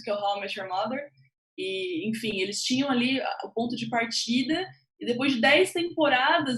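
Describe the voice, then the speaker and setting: A woman with a Brazilian accent talks casually as a writer on a panel. Her voice sits a little high, and the room adds a clear echo around it. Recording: echoey room sound.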